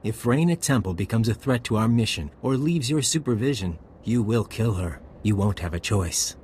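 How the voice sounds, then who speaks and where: A man's voice: fairly low pitch, lively intonation, normal speed, darkish tone, a little hiss or hum, lightly acted. Cocky, ruthless spymaster, played by a man. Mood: cold, commanding. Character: cocky, ruthless male spy handler, wryly casual. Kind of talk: video game voice acting.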